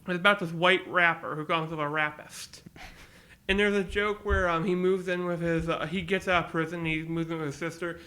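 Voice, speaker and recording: A young man with a noticeably nasal, high-set voice talks loosely and at length. His tone is bright and edgy, and he drifts from one story to the next in casual podcast chat. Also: a little fast. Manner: rambling, animated storytelling with put-on voices. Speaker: podcast co-host.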